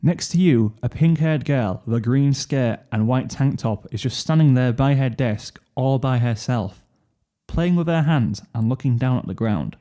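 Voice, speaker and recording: A man reading a second-person story as a narrator, expressive, with a weary, brooding delivery. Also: mid-range voice, normal speed. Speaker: fan fiction narrator. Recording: piano music under the voice. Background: piano music.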